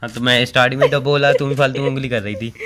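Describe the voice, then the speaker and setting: A young man in his twenties bickering jokingly with his girlfriend, playfully exasperated and quick to argue. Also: mid-range voice, steady tone, loud music behind the voice. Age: 20s. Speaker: boyfriend in a comic prank chat. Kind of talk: casual joking argument.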